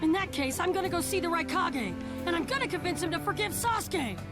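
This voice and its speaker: A woman voicing an earnest, headstrong teenage ninja boy in a video game dub, with some rasp in the voice. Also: extremely high pitch, lively intonation, a little fast. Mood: determined. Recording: music under the dialogue.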